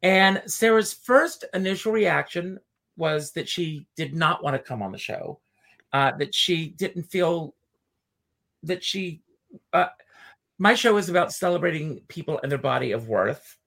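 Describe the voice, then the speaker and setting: Guy hosting a chat show, all effusive and excited to bring his guest on. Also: a little high, unhurried, creaky. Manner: effusive, enthusiastic. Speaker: talk show host. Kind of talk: casual interview chat.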